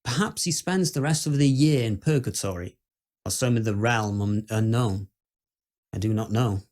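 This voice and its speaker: A man playing a skeptical, uneasy Victorian gentleman who tells ghost stories in a formal, measured, old-fashioned way. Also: on the low side, natural voice. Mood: grave, musing. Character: dread-filled, skeptical Victorian gentleman recounting house ghosts. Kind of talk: first-person dramatic ghost-story monologue.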